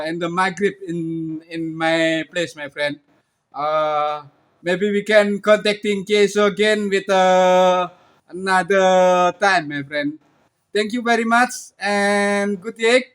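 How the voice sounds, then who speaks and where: High-voiced Indonesian man on ham radio. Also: a bit bright, clean tone. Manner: halting, repetitive, often says "my friend". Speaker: amateur radio operator. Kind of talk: casual ham radio contact.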